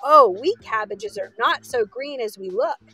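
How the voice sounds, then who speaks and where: A woman's voice: on the high side, animated, a little slow, pushed and bright, soft background music, exaggerated voice. A woman voicing a very stout, friendly talking cabbage from a children's story, hearty and exclaiming. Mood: jolly, boastful.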